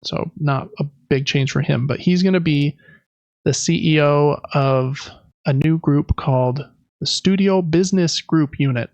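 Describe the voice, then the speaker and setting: Higher-voiced man with a creaky edge. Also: a little slow, a little mellow, no echo, dull audio. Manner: conversational, explanatory asides. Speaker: podcast host. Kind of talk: casual gaming news recap.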